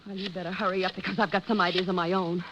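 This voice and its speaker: A woman's voice: on the low side, flat delivery, very fast, dull audio, background noise, natural voice. A woman playing a discontented wealthy wife in an old radio drama, with a theatrical, cutting delivery. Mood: indignant. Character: sharp-tongued, discontented wealthy socialite wife. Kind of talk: 1940s-50s radio drama acting.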